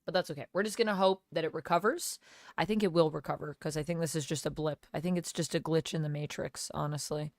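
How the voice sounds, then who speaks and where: A woman streamer under the weather with a cold, talking casually with a gravelly, smoky voice as she chats with viewers and thanks them by name. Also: sits low, steady tone, fast, dry recording. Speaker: live streamer. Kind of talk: casual live-stream chat, reading viewer comments. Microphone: gaming headset.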